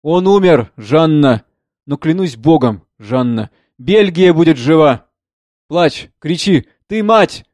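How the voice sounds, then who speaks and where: A man's voice: fairly high pitch, lively intonation, slow pace, darkish tone, dry recording, voice pushed up. A man playing an impassioned, solemn father in a stage drama, declaiming with emphatic repetition. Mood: fervent, grieving. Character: impassioned father, consoling visionary, solemn and fervent.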